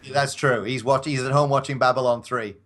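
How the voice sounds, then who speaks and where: A man's voice: medium pitch, steady tone, fairly quick, a bit bright. A man with a mid-range voice chats casually as a guest. He rambles a little and banters as he goes. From Scotland. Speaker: podcast guest, audio drama actor. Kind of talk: casual chat.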